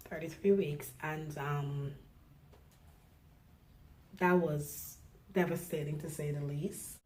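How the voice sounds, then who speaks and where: A middle-aged woman from Guyana, a nurse, speaking softly and reflectively in a personal interview, pausing often with fillers. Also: low voice, steady tone, low-quality recording. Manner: soft-spoken, reflective, halting with fillers.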